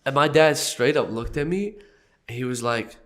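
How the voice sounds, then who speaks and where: A man's voice: medium pitch, moderate pace, a bit bright. A young man hosting a casual podcast, congested and a little nasal from a cold, joking loosely and coughing now and then. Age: young adult. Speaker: podcast host.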